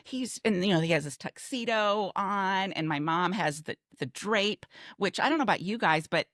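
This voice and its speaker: A woman's voice: medium pitch, moderate pace, fairly bright tone, no echo, studio quality. An older American woman from Texas, a podcast co-host reminiscing in casual chat with emphatic, conversational storytelling. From Texas, United States.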